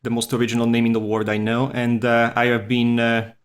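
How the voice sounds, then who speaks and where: A man's voice: medium pitch, completely flat delivery, fairly quick. A man with a Swiss Italian accent, a non-native English speaker whose first language is Italian, chatting as a podcast guest in an easygoing, rambling, self-deprecating way. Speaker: podcast guest, furry artist. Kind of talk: casual interview chat.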